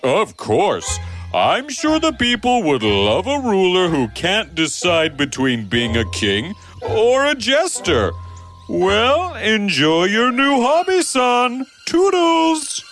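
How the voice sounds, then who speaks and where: A man playing a scornful king and father in a children's bedtime story, with a mocking, theatrical, drawn-out delivery. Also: sits high, very animated, fairly bright tone, soft background music, heavily acted. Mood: dismissive.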